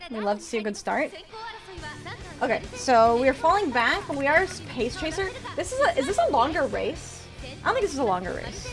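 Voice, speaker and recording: A young woman chats with her stream audience in a high voice that leaps up and down, yelling excitedly when her racer pulls ahead. She mentions she is losing her voice. Light game music plays quietly under her. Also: a little fast. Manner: chatty, excitable, cheers during races. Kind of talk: live game stream commentary with chat.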